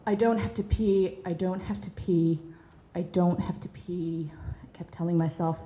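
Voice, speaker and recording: A woman in her thirties from Bosnia and Herzegovina, telling a personal story in an earnest, measured way with soft hesitations. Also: lowish voice, steady tone, echoey room, slight background noise. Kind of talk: personal story told to an audience.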